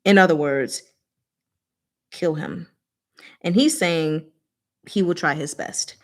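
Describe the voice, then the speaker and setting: A woman's voice: low-pitched, slow. A woman hosting a casual book discussion, explaining things informally with playful, rambling asides.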